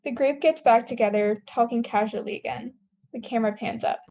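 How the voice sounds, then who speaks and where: A woman's voice: on the high side, fairly quick, vocal fry, dark tone, dull audio, a little hiss or hum. A young woman reading stage directions as scripted narration at a table read.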